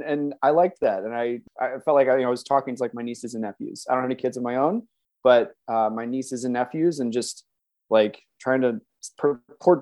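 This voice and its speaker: A man's voice: fairly high pitch, warm tone. An American man from the Chicago suburbs chatting casually as an interview guest, in a self-deprecating, chuckly way. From suburban Chicago, United States.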